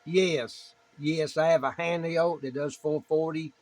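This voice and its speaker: A man's voice: on the high side, fairly even intonation, measured pace, darkish tone, a little hiss or hum. A man chatting casually as an amateur radio operator, plainspoken and prone to repeating himself. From United States. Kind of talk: casual ham radio chat.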